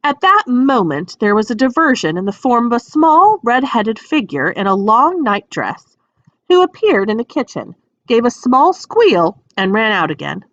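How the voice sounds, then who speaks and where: A woman narrating a children's story aloud in an expressive, scripted storybook style. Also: fairly high pitch, normal speed, no room sound, dull audio. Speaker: audiobook reader.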